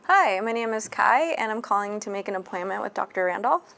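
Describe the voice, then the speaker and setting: A woman acting as a nonbinary patient phoning a clinic. The voice is mid-pitched, polite and plain-spoken, a little hesitant, in a training role-play. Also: animated, fairly quick, vocal fry, fairly bright tone. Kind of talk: scripted training role-play phone call.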